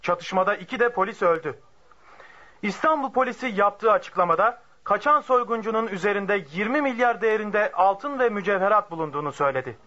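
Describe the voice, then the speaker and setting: An actor playing a formal radio newsreader delivering a brisk bulletin in a radio drama. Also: male voice, high voice, animated, sharp tone. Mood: formal, urgent. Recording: narrow, dull radio bulletin sound.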